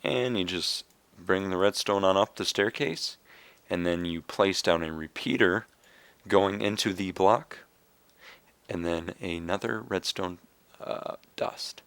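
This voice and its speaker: A young man talks unhurriedly through a game tutorial, pausing on fillers as he goes. His low voice is raspy and a little hoarse, yet comes through smooth and close on a dry, roomless desk mic. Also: no echo. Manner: relaxed, explaining step by step with fillers. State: hoarse from coughing. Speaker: video game tutorial maker. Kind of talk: casual screen-recorded game tutorial.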